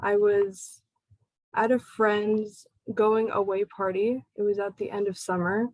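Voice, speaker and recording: A young woman from the United States telling her personal story to a support group, speaking haltingly with pauses between phrases. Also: mid-range voice, little pitch movement, darkish tone, no echo, poor audio. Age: young adult.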